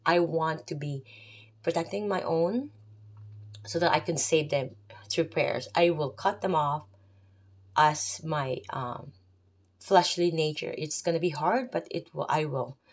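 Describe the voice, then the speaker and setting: Filipino lady talking about faith off the cuff, low voice, wanders off topic a lot. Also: unhurried. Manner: rambling, off the cuff, chatty asides. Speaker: faith vlogger. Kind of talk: unscripted religious talk to camera.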